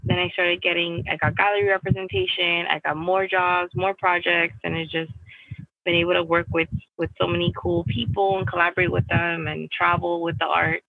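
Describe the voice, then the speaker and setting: A woman's voice: on the low side, flat delivery, normal speed, gravelly, no room sound, narrow, tinny audio. A young adult woman from Miami, answering interview questions in a casual, rambling way with many restarts and "like" fillers. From United States (Miami). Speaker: guest artist.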